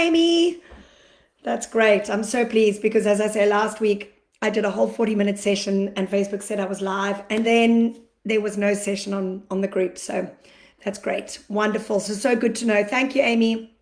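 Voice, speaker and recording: A chatty woman hosts a livestream from a roomy, echoing space, her voice a touch croaky. She greets viewers by name and talks through her plans at an easy, unhurried pace, with plenty of fillers. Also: on the high side. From Australia. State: slightly croaky from allergies, clears throat. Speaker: acupuncturist hosting a live Q&A. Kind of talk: casual livestream talk. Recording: echoey room livestream.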